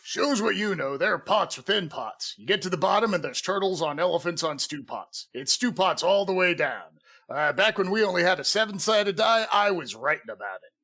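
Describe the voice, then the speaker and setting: Man playing a sharp, cranky old gnome. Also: high-pitched, expressive, moderate pace, heavily acted. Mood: cranky bragging. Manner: ranting, combative. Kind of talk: comedic scripted audio drama.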